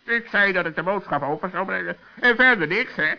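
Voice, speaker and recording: A clever, rather smug raven in an extremely high voice. The delivery is dry and laconic, from a children's radio play with dull, lo-fi sound. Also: male voice, lively intonation, a little fast, gravelly. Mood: dry, reporting. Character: shrewd, self-satisfied male raven, dry and matter-of-fact. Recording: dull lo-fi old radio recording.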